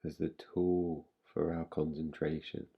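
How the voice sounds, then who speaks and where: A man with a low, husky voice leads a guided relaxation. He speaks gently and soothingly, leaving long pauses between his instructions. There is a creaky fry in his delivery, and he never hurries. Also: level tone, highs cut off. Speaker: meditation guide. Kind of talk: guided relaxation meditation, yoga nidra.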